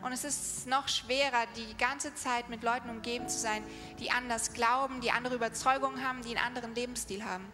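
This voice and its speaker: A woman interpreting live on stage, speaking in quick restarting bursts. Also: a little high, steady tone. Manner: halting, restarts mid-sentence. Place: large hall. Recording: echoey hall with music behind.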